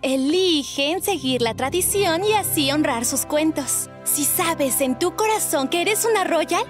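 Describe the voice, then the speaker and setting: A woman voicing a gentle, reassuring teenage fairy-tale girl in a Latin American Spanish cartoon dub. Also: high-pitched, expressive, a little slow. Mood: gentle, advising. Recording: music behind the dialogue.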